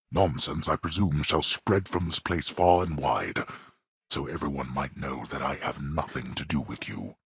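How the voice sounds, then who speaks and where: An actor playing a stern, imperious god of the underworld in a video game, pompous and disdainful in archaic, formal speech, with a gravelly, husky voice. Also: male voice, a bass voice, no echo, studio quality, heavily acted. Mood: dry contempt. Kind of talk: theatrical video game character acting.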